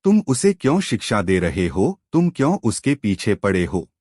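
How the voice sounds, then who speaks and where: A man voicing a calm elderly sage who admonishes his disciple in a measured way. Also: lowish voice, dry recording, studio quality. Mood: admonishing. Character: calm elderly male sage, patient and stern.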